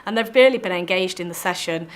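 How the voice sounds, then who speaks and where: A woman's voice: lowish voice, fast. A woman informally explaining a session she runs as facilitator.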